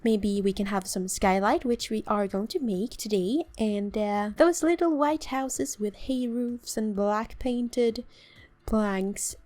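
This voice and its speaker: A woman's voice: medium pitch, takes it slowly, darkish tone. A young woman from southern Sweden with a southern Swedish accent, chatting playfully through her game with a slightly sore throat and frequent little giggles. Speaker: gaming channel host. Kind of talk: casual let's-play commentary.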